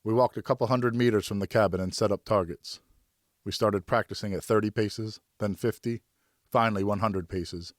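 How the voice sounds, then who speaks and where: Male audiobook narrator, low register, dry sound, scripted first-person storytelling. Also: steady tone, normal speed, no room sound.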